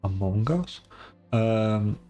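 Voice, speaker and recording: A man's voice: on the low side, animated, extremely slow, dark tone, faint music behind. A young man giving casual, blunt and sarcastic reaction commentary as a game streamer, swearing freely.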